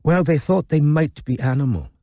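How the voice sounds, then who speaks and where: Guy doing a welsh woman in an audiobook, deep voice, sweet-talking and smothering, really dry sound. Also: lively intonation, moderate pace, mellow, no room sound. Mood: soothing. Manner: affectionate, cajoling endearments. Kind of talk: audiobook character dialogue.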